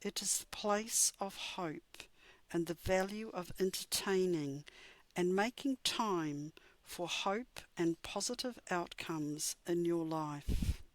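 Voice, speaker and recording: A woman with a low voice guides a meditation at an unhurried, slow pace. She reads in a soothing, measured way and leaves deliberate pauses between phrases. The sound is dry and close. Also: no echo.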